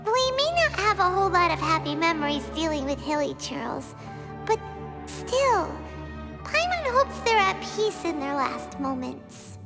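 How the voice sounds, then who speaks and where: A woman voicing a tiny, chatty fairy companion in a video game, with a squeaky childlike voice. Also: sing-song, measured pace, loud music behind the voice, exaggerated voice. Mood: subdued, wistful. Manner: exclamatory, refers to herself by name.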